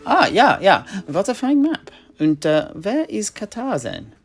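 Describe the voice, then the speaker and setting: A performer acts out a burly, simple-hearted man who helps out in a fantasy tavern. His pitch swings widely as he eagerly repeats himself and stumbles over words. Instrumental music plays behind him in this audio drama. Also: huge pitch swings, a little slow. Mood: excited. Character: big strong tavern helper, male, eager, excitable, simple-hearted.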